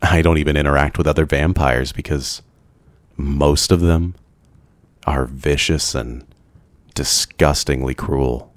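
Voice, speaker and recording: A man's voice: rumbling low, fairly even intonation, measured pace, pauses often, no echo. A man playing a lonely, courteous immortal vampire in an intimate ASMR roleplay, speaking formally and hesitantly. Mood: regretful, brooding.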